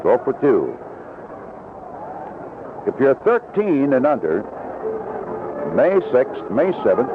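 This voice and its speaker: A man's voice: mid-range voice, narrow, tinny audio. A man calling baseball play-by-play on an old radio broadcast from a ballpark, mixing live commentary with promotional reads. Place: outdoor ballpark broadcast booth. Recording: band-limited vintage AM radio broadcast, noisy.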